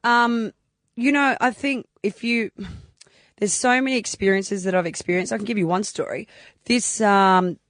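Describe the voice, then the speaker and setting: A woman raised in Australia, a guest on a radio show, telling animated stories in casual chat. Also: mid-range voice, normal speed, dry recording, studio quality. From born in Croatia, raised in Newcastle, Australia. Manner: chatty, animated storyteller.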